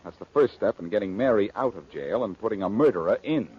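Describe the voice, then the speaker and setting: An actor playing a cocky, flippant amateur detective and ex-crook in a 1940s radio drama. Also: male voice, on the low side, expressive, fast, over loud music, natural voice. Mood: brisk, businesslike. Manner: flippant, smooth-talking, teasing. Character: wisecracking, cocky amateur sleuth, ex-crook, adult man. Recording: muffled 1940s radio recording.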